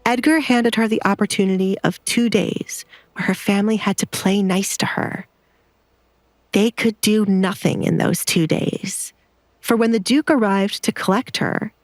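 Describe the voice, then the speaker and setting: A woman's voice: mid-range voice, dry recording. A woman narrator reading a scripted romantic drama in a storytelling style.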